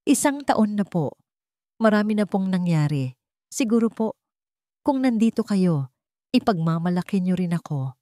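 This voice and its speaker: A woman's voice: medium pitch, lively intonation, normal speed, pauses often, dark tone, no echo, clean studio sound, lightly acted. A woman narrator voicing a resilient grown daughter in a story, speaking in a solemn, heartfelt, respectful way. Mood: tender, grateful. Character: resilient grown daughter, earnest, tender and grateful.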